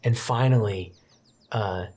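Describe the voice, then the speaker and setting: A game master narrating a tabletop roleplaying game in an improvised, descriptive, halting way. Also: mid-range voice, a little mellow, slightly rough. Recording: music behind the voice. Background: background music.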